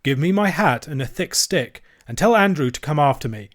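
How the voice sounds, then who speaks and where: Man as a storybook vicar, genteel, earnest, excitable, resolute, commanding. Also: on the high side, moderate pace, natural voice. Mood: alarmed. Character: proper, excitable but resolute village vicar, adult man.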